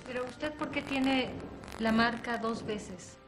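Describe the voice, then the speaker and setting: A woman co-hosting an educational interview, asking clear, informative questions. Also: on the high side, slow, low-quality recording. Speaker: co-host. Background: music underneath.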